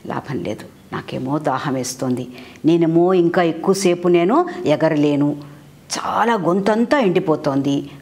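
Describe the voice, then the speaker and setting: A weary, clever crow from a children's story, acted out by a woman, pleads in a plaintive voice. The pitch swings widely between low murmuring and high, thin caws. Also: expressive, a little slow, a little mellow. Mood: weary, desperate. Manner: plaintive, high cawing cries.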